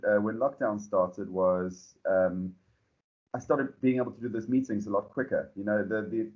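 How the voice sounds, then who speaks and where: A South African man, a startup founder, answering podcast questions in a relaxed, rambling way full of fillers and restarts. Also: on the low side, highs cut off. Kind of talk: podcast interview.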